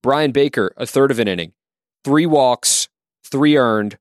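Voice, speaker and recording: A man with a middle-pitched voice reads out innings, hits and walks in a steady, methodical way. The recording is dry and close, with no room sound. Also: a little slow, dry recording. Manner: steady, listing numbers methodically. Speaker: co-host. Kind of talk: sports podcast, reading stat lines.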